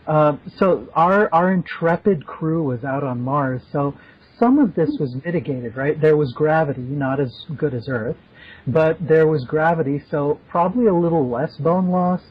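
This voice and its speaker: A man's voice: on the high side, normal speed. A man hosting a podcast in casual, playful interview chat. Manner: playful, jokey. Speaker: podcast host. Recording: dull lo-fi remote audio.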